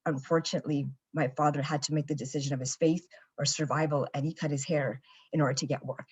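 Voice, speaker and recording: A woman's voice: sits low, fairly even intonation, fast talker, darkish tone, slightly rough. A young Canadian woman with a Canadian accent, speaking as a panelist and reflecting earnestly on her own experiences, with frequent "you know" fillers. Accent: Canadian English.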